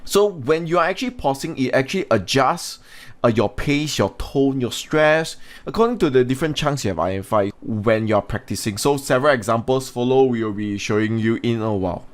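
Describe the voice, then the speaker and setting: A man's voice: medium pitch, lively intonation, a little fast. A man teaching an English language lesson in an instructional way, talking students through points and putting questions to them. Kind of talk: scripted lesson narration with informal asides.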